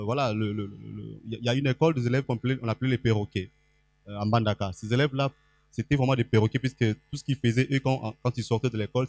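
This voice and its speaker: A man's voice: mid-range voice, a little mellow, no echo. Male panel guest from Équateur, rambling and self-deprecating, dry mic. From Équateur province, DR Congo. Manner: chatty, self-deprecating, many fillers and restarts. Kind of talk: public panel talk. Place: event hall stage. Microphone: handheld microphone.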